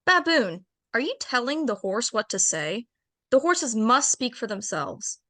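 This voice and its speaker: A brisk, rule-minded she-bear, voiced by a woman in a radio drama table read. Her voice is high and bright with lively swings in pitch. The sound is close and dry. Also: no room sound, heavily acted. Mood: officious. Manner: brisk and procedural. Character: orderly, officious female bear council member.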